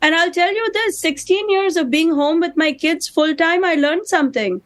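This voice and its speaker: High-voiced Indian woman, punchy deadpan humor. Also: moderate pace. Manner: punchy, self-deprecating deadpan jokes. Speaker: comedian guest. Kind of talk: comedic interview over video call. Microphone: video call.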